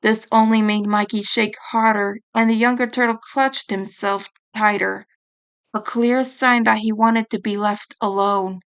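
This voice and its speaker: A woman's voice: mid-range voice, fairly even intonation, unhurried, fairly bright tone, clear, resonant tone. A woman reading a piece of fan fiction aloud as scripted story narration.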